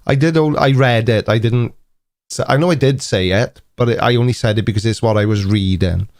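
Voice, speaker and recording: A man's voice: medium pitch, moderate pace, a little mellow, no echo. A man in his forties with a Welsh accent, hosting a casual live stream chat in a dry, teasing, mock-indignant manner. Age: 40s.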